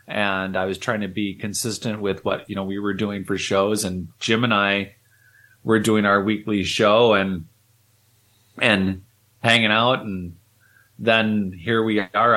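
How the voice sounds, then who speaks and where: Male podcast co-host, lowish voice, chatty and jokey. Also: steady tone, normal speed, sharp tone. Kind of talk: casual chat.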